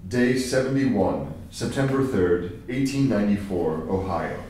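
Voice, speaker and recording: Deep-voiced male narrator, very echoey room. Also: animated, a little slow, dark tone. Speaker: stage directions narrator. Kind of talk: scripted screenplay narration at table reading. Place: large echoey room, live table reading. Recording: very echoey, distant mic, faint noise.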